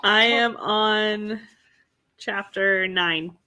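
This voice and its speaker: Brief: young woman streamer, bright, slow easy delivery, reads chat and greets viewers. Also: mid-range voice, clear, resonant tone. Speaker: livestream host. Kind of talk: casual livestream chat.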